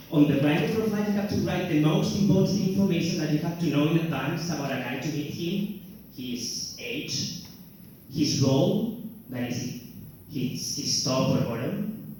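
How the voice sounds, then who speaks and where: Young man, Spanish accent, stand-up comic, self-mocking and theatrical; stage mic caught far off in a booming room with faint noise. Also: expressive, a little slow, darkish tone, heavy reverb. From Spain. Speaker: stand-up comedian. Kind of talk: stand-up routine. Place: comedy club stage. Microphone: handheld stage mic.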